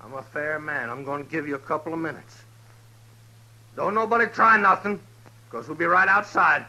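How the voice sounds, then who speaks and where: An actor playing a vengeful, menacing outlaw gang leader in a 1950s radio Western. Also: male voice, a little high, moderate pace, sharp tone, poor audio. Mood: menacing, demanding. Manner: threatening, rural Western drawl talk. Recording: old 1950s radio recording.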